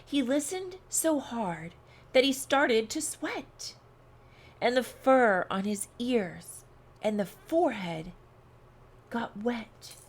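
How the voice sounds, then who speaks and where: Woman, children's storyteller, slightly high-pitched, very slow, deliberate, expressive read-along. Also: breathy edge.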